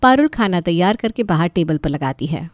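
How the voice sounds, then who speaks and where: A woman's voice: lowish voice, lively intonation, moderate pace, no echo. A woman reading scripted story narration.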